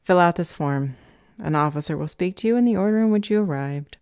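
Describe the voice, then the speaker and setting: A woman acts an officious female clerk in an audio roleplay, her voice low and dark. She is deadpan, curt and dismissive, heard very dry and close. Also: brisk, smooth, no room sound. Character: curt, officious, unhelpful female bureaucrat at service window.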